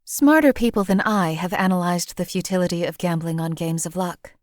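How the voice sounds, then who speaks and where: A woman's voice: fairly low pitch, brisk, dry recording, lightly acted. A woman voicing a cold, sardonic assassin. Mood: composed. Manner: dry, cutting, precise and condescending. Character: cold, sardonic female assassin companion, former slave. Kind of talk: game companion voice lines.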